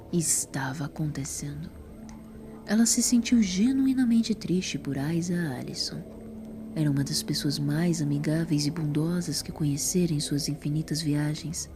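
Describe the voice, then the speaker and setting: A woman with a Brazilian accent reading scripted narration for a dramatic audio story. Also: low voice.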